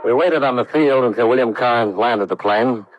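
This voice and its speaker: A man's voice: mid-range voice, moderate pace, highs cut off, faint music behind, close to an everyday voice. An actor playing a hard-boiled American insurance investigator, narrating his case in clipped, matter-of-fact 1950s radio drama style.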